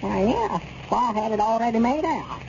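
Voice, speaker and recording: A man's voice: very high voice, lively intonation, moderate pace, creaky, darkish tone, heavily acted. An actor playing a gullible, flustered old rural Southern man in a 1930s radio comedy, rambling on in folksy fashion. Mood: obliging. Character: flustered, gullible old country storekeeper, high-voiced. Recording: muffled, noisy 1930s radio recording.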